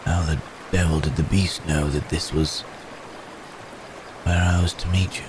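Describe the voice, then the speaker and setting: A man playing a gentle, troubled wounded man cursed as a werebeast in an ASMR roleplay, speaking haltingly in formal, old-fashioned phrases with sighs. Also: deep voice, level tone, noisy background. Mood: puzzled.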